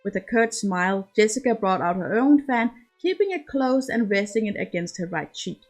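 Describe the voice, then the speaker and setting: A woman's voice: medium pitch, expressive, moderate pace, darkish tone. Woman narrating a story over music. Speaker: amateur fan fiction reader.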